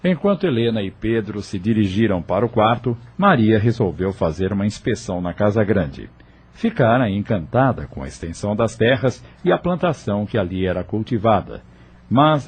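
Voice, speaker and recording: A man narrating an audio novel from a script in a measured storytelling manner. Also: fairly low pitch, darkish tone, husky. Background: music.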